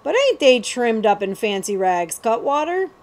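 A woman voicing a big, rough male highwayman in a storybook, gleefully menacing, with rustic outlaw talk. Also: sing-song, normal speed, a little mellow. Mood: gleeful menace.

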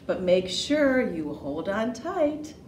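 A woman voicing eager, polite little farm animals from a children's storybook, excitable and sing-song. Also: medium pitch, slow. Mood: eager, pleading. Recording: roomy, distant mic with faint noise.

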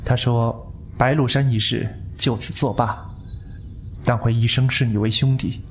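A man's voice: mid-range voice, steady tone, a little slow, a little hiss or hum, close to an everyday voice. A man playing a possessive, coolly intense young heir of a martial-arts sect in a Chinese wuxia audio drama. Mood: calm.

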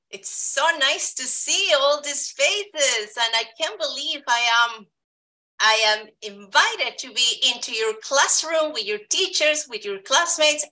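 A woman's voice: fairly high pitch, sharp tone, poor audio. A Peruvian woman in her thirties, a biologist whose first language is Spanish, talking cheerfully to a class of children over a video call from her home. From Peru. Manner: cheerful, encouraging, addresses children directly. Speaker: tropical biologist guest speaker. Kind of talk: friendly virtual classroom talk to kids. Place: home room.

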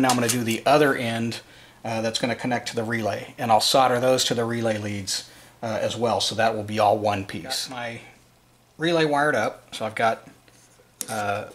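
A man with a mid-pitched voice presents a do-it-yourself tutorial indoors at a work table. He talks casually and without a script, explaining each step as he goes. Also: slight background noise. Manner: informal, unscripted, explanatory. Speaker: presenter of a DIY wiring tutorial.

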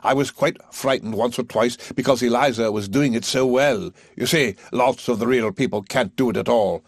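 A man playing a genial, courteous older gentleman in a play reading, chatty and well pleased. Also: mid-range voice, normal speed, breathy edge, no room sound, studio quality.

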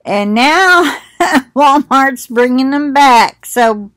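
A woman's voice: high voice, animated, drawn-out words, fairly bright tone, no room sound, clean studio sound. An older woman talking casually to her webcam from her living room couch, chatty and reminiscing as she reacts to a video. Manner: chatty, reminiscing, laughs often. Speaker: commentary channel host. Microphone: webcam at desk distance.

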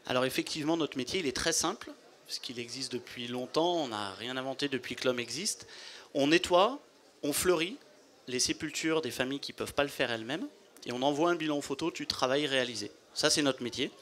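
A man in his forties, a company founder, explaining his business in a measured way into a handheld mic at a trade fair studio booth. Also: medium pitch, fairly bright tone.

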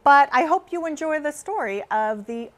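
A middle-aged woman with an American accent, giving an informal educational talk in a conversational, expressive storytelling manner. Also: sits high, normal speed. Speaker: plant educator and presenter. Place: inside a greenhouse conservatory.

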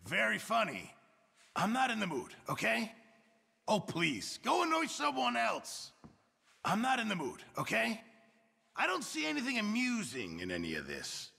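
An actor playing a put-upon, middle-aged camp cook in a Western video game, flustered, huffy and self-important, with a gravelly, husky voice. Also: male voice, high voice, huge pitch swings, moderate pace, pushed and bright, long reverb tail, full character voice. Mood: irritated. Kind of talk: video game character barks.

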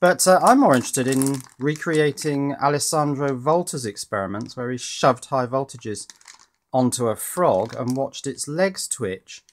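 A man's voice: medium pitch, a little slow. Dry-humoured man tinkering at a bench. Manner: dry, wry, thinks aloud with frequent "uh". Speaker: electronics hobbyist presenter. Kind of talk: casual tinkering commentary. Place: indoors at a workbench.

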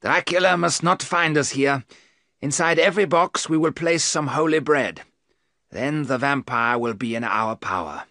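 A man's voice: a little high, normal speed, pushed and bright, no echo, clean studio sound. A man voicing an old male vampire-hunting professor in a storybook reading, giving urgent commands.

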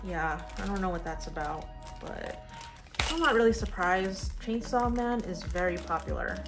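A woman's voice: lowish voice, animated, moderate pace. A young woman chatting casually to viewers as an unboxing vlogger, gushing over cute things and talking to objects as she handles them. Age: young adult. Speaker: vlogger unboxing collectible figures. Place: indoors, living room at home. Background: background music.

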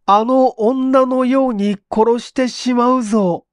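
A man voicing a threatening, forceful robber demanding money in a story reading. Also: extremely high pitch, steady tone, slow, no room sound, studio quality, pitched above the performer's own voice.

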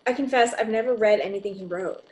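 A woman acting in a radio drama as a calm, collegial academic doctor co-hosting a broadcast. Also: mid-range voice, fast, close to an everyday voice, poor audio. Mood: measured. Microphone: close studio mic on boom arm.

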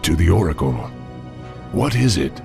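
An actor playing a young, noble orc war chief in a fantasy game, with a gravelly voice and a firm, formal manner. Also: male voice, low-pitched, sing-song, a little slow, music in the background, heavily acted. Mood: concerned, earnest. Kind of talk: fantasy video game acting.

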